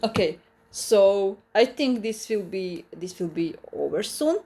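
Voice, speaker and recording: A woman with a Croatian accent teaches a live online language lesson. She talks at an unhurried, deliberate pace, in a voice of middling pitch. She works through each point carefully, restarting phrases and repeating examples. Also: expressive, dark tone.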